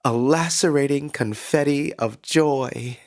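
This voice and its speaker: An actor playing a gleeful, unsettlingly cheerful small-town radio host, with a theatrical, drawn-out delivery in a scripted podcast monologue. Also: male voice, mid-range voice. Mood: dreamy, gleeful. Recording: music behind the voice.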